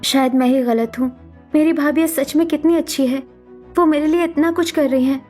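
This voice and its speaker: Lady doing hurt young sister-in-law for a cartoon story, really high plaintive voice, unsure of herself. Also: fairly even intonation, brisk, dark tone, soft background music, natural voice. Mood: torn, doubting. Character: hurt, self-doubting dark-skinned young sister-in-law. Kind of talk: dramatic Hindi cartoon story acting.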